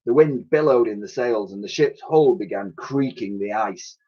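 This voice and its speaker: A man reading a children's story aloud as a teacher would, with dramatic, expressive delivery. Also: on the low side, normal speed. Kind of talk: animated storybook narration. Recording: dull, lo-fi sound.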